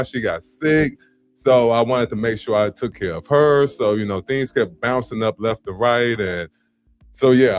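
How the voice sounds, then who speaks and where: A man in his late thirties, a podcast guest, telling his story in a relaxed, easygoing way. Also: medium pitch. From South Side. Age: 30s. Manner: relaxed storytelling with acted-out quotes. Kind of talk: casual interview chat.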